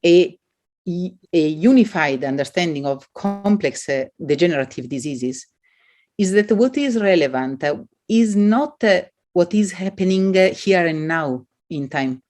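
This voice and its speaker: Female academic, Italian accent, lower register, expressive but searching delivery as she explains ideas to an audience. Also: a little slow. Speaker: academic guest speaker. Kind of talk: academic talk answering audience questions.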